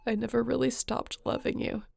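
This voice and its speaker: A woman acting an exhausted, guilt-ridden, devoted space adventurer in an intimate sci-fi audio roleplay, speaking earnestly and tenderly in halting phrases. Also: a little high, little pitch movement, vocal fry, a little mellow. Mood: pleading, apologetic.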